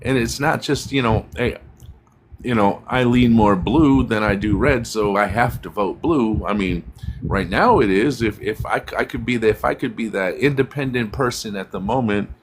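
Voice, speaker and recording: A man's voice: lowish voice, moderate pace, slight background noise, low-quality recording. A man hosting a casual online political discussion, speaking in a loose, hesitant way with frequent restarts.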